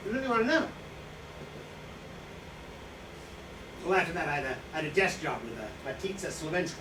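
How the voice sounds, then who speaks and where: A man in a staged reading playing a boastful, defensive, hard-drinking old writer, rambling and halting as he justifies himself. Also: on the high side, sing-song. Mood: wry reminiscing. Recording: distant echoey room, noisy draft recording.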